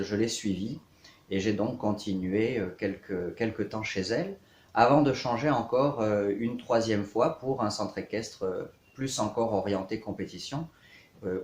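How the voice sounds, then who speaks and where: Low-voiced man in an echoey room. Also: darkish tone. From south-east France. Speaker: horse riding coach. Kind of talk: scripted-outline self-introduction to camera for an online course.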